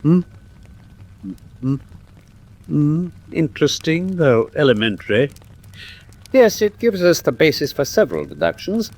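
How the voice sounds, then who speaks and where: An actor with an English accent playing a confident, teasing gentleman detective in a classic radio drama, speaking precisely and with theatrical condescension. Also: on the high side, very animated, unhurried, long pauses. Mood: coolly superior.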